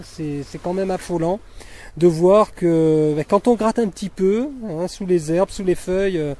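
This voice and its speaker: A man's voice: high-pitched, mellow. A man from the south of France hosting a web magazine interview outdoors, chatty and hesitant with many fillers. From Fréjus, southern France. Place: outdoors at a beach cleanup.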